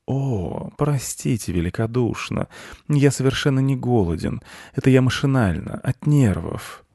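A man's voice: low-pitched, normal speed, dark tone, no room sound, clean studio sound. Man as a courtly, over-polite sleek cat. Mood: apologetic. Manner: courtly, formal, apologetic. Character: suave, sleek, over-polite Siamese-type house cat. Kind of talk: animal storybook character voice.

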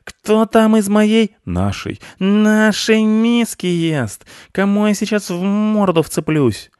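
A man's voice: high-pitched, big pitch swings, slow pace, a little mellow, smooth, no room sound, studio quality, pitched above the performer's own voice, heavily acted. A man voicing a rude, hot-tempered ginger tomcat in an animal story, shrieking and hissing threats. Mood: outraged, threatening.

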